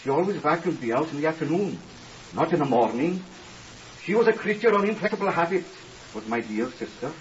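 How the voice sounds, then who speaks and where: A man's voice: fairly high pitch, animated, brisk, far from the mic, close to an everyday voice. Guy acting a polite young welsh scholar, very earnest n proper, old radio drama, muffled with hiss. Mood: earnest, insistent. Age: young adult. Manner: formal, deferential, singsong phrasing. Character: earnest young Welsh scholar, grieving brother, stiffly formal. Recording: muffled hissy old radio recording, off mic.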